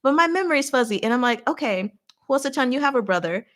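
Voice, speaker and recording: A woman hosting a casual live book discussion, retelling the plot in an animated, giggly, informal way with acted-out asides. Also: sits high, fast talker. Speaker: host of a livestream book discussion. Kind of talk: casual chatty live story recap with commentary.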